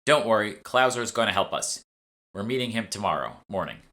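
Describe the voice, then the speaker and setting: A man's voice: on the low side, sing-song, brisk, bright, forceful tone. A man voicing a bossy, curt, sardonic female guild fixer in an audiobook. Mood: assured. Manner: curt, impatient, know-it-all.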